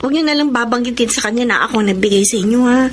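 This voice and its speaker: Actress as frank, high-voiced scolding best friend. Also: female voice, racing, noisy background, lightly acted. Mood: confiding. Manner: reproachful, advising. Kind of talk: Filipino radio drama acting.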